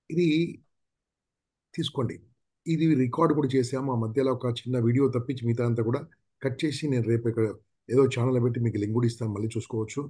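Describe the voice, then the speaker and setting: A mellow, fast-talking man, the sort who opens and wraps up a group class with friendly housekeeping announcements. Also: mid-range voice, slightly rough. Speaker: session host. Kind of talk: casual announcements to participants.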